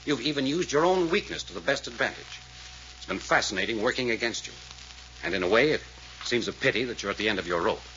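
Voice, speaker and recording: A tense, commanding adventurer in a vintage radio serial. His orders are clipped and authoritative, and his pitch moves widely. The recording is muffled and noisy. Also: lively intonation, fast, sharp tone. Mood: grave, deliberate, then menacing. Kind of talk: 1940s-50s radio drama acting.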